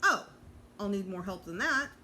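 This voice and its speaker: A woman's voice: mid-range voice, animated, moderate pace, pushed and bright, slight background noise. A woman voicing a friendly, encouraging gray-bearded male author who plays showman in a children's storybook.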